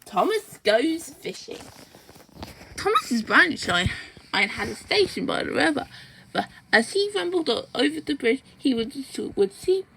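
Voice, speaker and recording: A high-voiced young-sounding reader reading a children's storybook aloud, stumbling and repeating words along the way. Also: big pitch swings, unhurried, vocal fry, sharp tone, slight background noise, rough recording.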